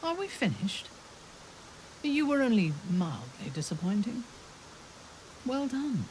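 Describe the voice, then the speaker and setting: A woman playing a proud, fierce dark elf warrior in a fantasy video game, speaking in a formal, deliberate, imperious way. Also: fairly high pitch, big pitch swings, a little hiss or hum, poor audio. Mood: haughty. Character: proud fierce female drow warrior, devoted lover.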